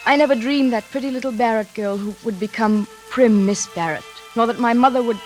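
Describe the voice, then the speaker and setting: Actress as prim young librarian, music behind. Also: female voice, a little high, brisk, lightly acted. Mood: wistful, somber. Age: young adult. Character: prim, dutiful, lonely young librarian telling her story. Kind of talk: 1940s radio drama first-person narration. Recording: vintage 1940s radio recording.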